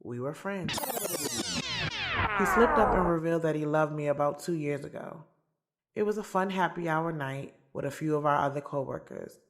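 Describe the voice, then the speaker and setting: A woman's voice: low voice, wildly swooping pitch, normal speed, exaggerated voice. A woman playing a sassy, candid young woman in an audio drama, telling her story in a casual, slangy way, swearing freely. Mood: wistful, amused. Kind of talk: audio drama first-person narration and dialogue. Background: music.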